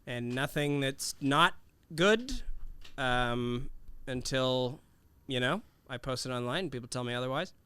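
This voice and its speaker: Bright, clear comedian man. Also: medium pitch, animated, measured pace, dry recording. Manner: self-deprecating, grumbling. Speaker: guest comedian. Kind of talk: casual chat. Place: podcast studio.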